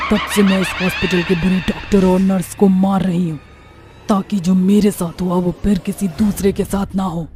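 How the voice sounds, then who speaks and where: एक औरत कार्टून भूत-कहानी में अन्याय से मरी, बदला लेने वाली युवा चुड़ैल की धमकी भरी, गंभीर आवाज़ निभा रही है।. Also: female voice, fairly low pitch, close to an everyday voice. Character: vengeful young female witch, wronged ghost of a patient. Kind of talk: Hindi cartoon horror story acting. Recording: faint music underneath.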